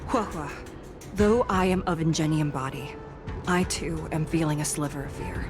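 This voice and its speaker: A woman plays a dutiful warrior in a video game, composed and authoritative. Her slightly low voice is formal and measured, set over background music. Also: close to an everyday voice. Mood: solemn.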